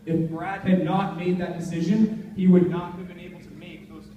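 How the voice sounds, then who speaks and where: A man's voice: sits high, flat delivery, normal speed, dull audio, a crowd in the background. A young man gives an earnest, emphatic motivational talk to a live audience in informal language. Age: young adult. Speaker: motivational speaker at a student leadership conference. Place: large indoor conference hall. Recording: echoey noisy hall, far from mic.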